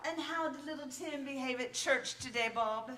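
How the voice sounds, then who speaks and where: A protective, sharp-tongued mother, played by an actress, high and bright with measured pacing. She sounds practical and theatrical, on an echoey stage in a radio play. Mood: hesitant, caring. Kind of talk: stage radio drama acting. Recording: echoey live stage recording.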